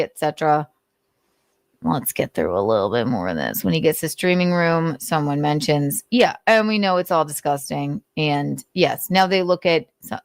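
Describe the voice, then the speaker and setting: Casting: female commentator with a lowish voice, reading notes casually with sardonic, sweary asides, very dry recording. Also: dry recording. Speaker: commentator and show host.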